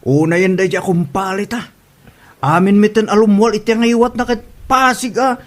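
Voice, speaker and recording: A man's voice: high voice, fairly even intonation, moderate pace, lightly acted. A man with an Ilocano accent playing a sceptical, grumbling working husband in a comedy audio drama.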